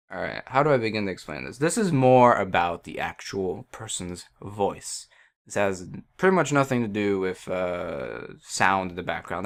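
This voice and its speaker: A man's voice: fairly low pitch, fairly bright tone. A man at his computer, speaking into a headset and explaining audio settings in a rambling, hesitant way full of fillers. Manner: hesitant, many fillers and restarts, self-deprecating. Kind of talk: casual rambling tech explanation. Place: at a computer by a wall indoors.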